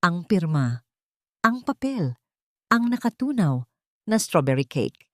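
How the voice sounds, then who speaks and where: Low-voiced woman narrating drama, dry recording. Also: sing-song, takes it slowly, a bit bright, dry recording. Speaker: story narrator. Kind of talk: dramatic scripted narration of a melodrama.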